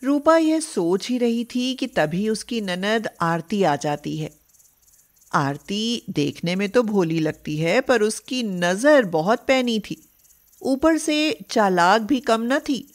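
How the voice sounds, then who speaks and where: A woman storyteller reading a scripted narration for an animated tale. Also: mid-range voice, takes it slowly.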